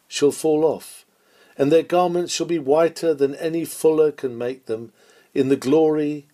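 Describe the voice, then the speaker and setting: A man's voice: medium pitch, darkish tone. Man solemnly reading an old-fashioned sermon. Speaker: reader of a historical sermon. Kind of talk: read-aloud sermon, scripted oratory.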